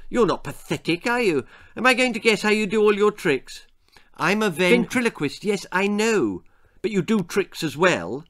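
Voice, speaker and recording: A man's voice: high voice, very animated, moderate pace, fairly bright tone, pitched above the performer's own voice. A man voicing a cheeky, blunt small boy who gabbles on and pesters in a story. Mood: blunt, pestering.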